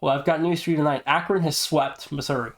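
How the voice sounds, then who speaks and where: A man's voice: on the high side, fairly even intonation, quick pace, creaky. A man hosting a podcast, giving league news and casual commentary in an emphatic way with repeated stock phrases. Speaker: podcast host and league presenter.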